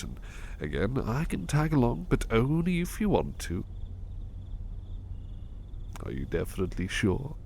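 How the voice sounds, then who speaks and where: A man playing a low, gravelly, devoted butler. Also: a little hiss or hum, heavily acted. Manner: hesitant, gentle, courteous, stammering. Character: devoted, polite, history-loving male butler companion. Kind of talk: soft-spoken ASMR roleplay.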